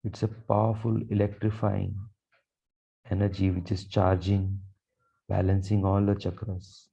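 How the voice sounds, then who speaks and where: Male meditation guide: very soft dark tone, calm affirmations with long pauses, somewhat muffled lo-fi recording. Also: deep voice, gravelly, slightly rough. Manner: slow, calm, soothing delivery with long pauses. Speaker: meditation guide, Reiki teacher. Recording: dull, muffled lo-fi sound.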